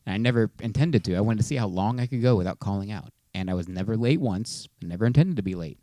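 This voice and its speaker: A man's voice: on the low side, lively intonation, fast talker, dark tone, no echo. A man co-hosting a podcast, telling a personal story in a casual, conversational way. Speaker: podcast co-host.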